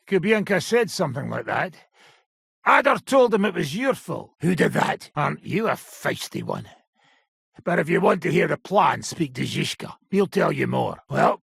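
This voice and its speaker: A battle-worn old outlaw baron for a video game, with a heavily rasping, crackling voice that sits fairly high for a man. He is blunt, coarse and sardonic, and his pitch swings widely as he talks. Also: sharp tone, no echo, clean studio sound, full character voice. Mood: gruff, dismissive. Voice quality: gravelly, very raspy. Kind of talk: video game character acting.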